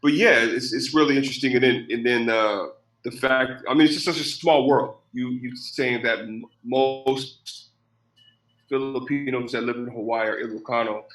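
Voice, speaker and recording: Male co-host, from the US, excitable, repeats himself, echoey room. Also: medium pitch, moderate pace. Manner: animated, repeats words in bursts. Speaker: podcast co-host. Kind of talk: casual interview chat.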